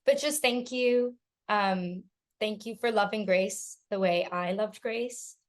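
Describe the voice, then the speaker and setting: A woman's voice: medium pitch, takes it slowly. A woman with a mixed British and American accent, grew up in London and Italy, chatting as an actor guest in a casual interview, laughing often and correcting herself.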